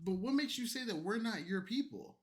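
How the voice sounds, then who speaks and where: Man, tipsy, streamer, profane rambling rant, fairly high voice. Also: lively intonation, a little fast, noisy background. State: tipsy from alcohol and energy drink. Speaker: streamer reacting to clips. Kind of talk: drunk late-night ranting stream.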